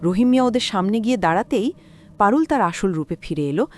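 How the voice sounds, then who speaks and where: Female storyteller for scripted horror tale: mid-pitched, very animated, clear background noise. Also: fairly quick. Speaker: narrator.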